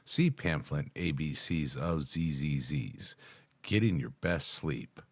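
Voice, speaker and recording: A middle-aged American man with a low voice, close on the microphone. He reads text aloud in an informal way and drops in his own offhand comments as he reacts. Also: expressive, takes it slowly, dry recording. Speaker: reaction video host. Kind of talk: casual live reaction, reading text aloud with asides. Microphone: close microphone on a boom arm, headphones on.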